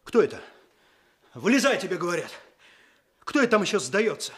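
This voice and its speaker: Highish-voiced earnest young policeman. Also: very animated, fairly quick, a bit bright. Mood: stern. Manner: official, commanding, clipped. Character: earnest, stern young male police officer. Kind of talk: Soviet radio play acting.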